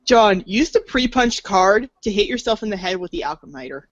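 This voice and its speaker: A woman's voice: mid-range voice, expressive, brisk, no echo, lo-fi sound. A woman narrating a scripted read-along storybook in an informal, playful way, stumbling over words now and then.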